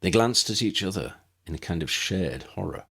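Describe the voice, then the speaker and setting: A man with a husky voice reading fantasy fiction as a scripted audiobook narration. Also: low-pitched, expressive, moderate pace, a bit bright.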